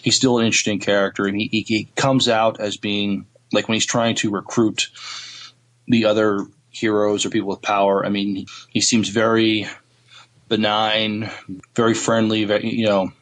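A man co-hosting a podcast in casual chat, halting and searching for his words. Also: on the low side, little pitch movement, a bit bright, poor audio. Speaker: podcast co-host.

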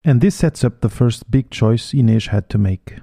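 A man's voice: mid-range voice, lively intonation, moderate pace, vocal fry, warm tone, no room sound, clean studio sound. A man hosting a podcast, reading a scripted intro and then chatting with his guest, stumbling a little when he speaks off the cuff. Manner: upbeat, stumbles when ad-libbing. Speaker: podcast host. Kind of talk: scripted intro and casual interview.

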